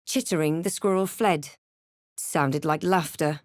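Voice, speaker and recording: A self-possessed young fantasy adventurer for a video game. Her delivery is wry and measured, with a touch of drama, in a very dry, close recording. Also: low voice, dry recording. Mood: solemn narrating. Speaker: video game player-character voice actor. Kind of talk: scripted game voice lines, narration and spell incantations.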